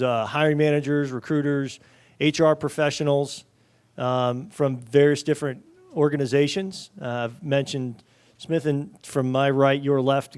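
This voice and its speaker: Man, moderator, smooth clear voice, filler-heavy, conference panel. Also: mid-range voice, darkish tone. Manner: loose, filler-heavy delivery with military metaphors. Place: conference panel in front of an audience.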